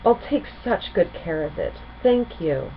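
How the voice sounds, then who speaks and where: A woman's voice: lowish voice, animated, a little slow. A woman voicing an earnest, enthusiastic young female pony princess in a storybook reading. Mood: grateful. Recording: muffled home recording with clear noise.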